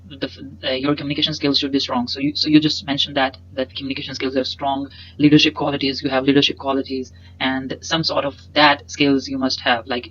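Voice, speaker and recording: A young man whose first language is Punjabi, giving a webcam lesson and explaining slides in a halting way, full of fillers and repeated words. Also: medium pitch, a bit bright, dull audio. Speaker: instructor. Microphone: webcam in a corner inset.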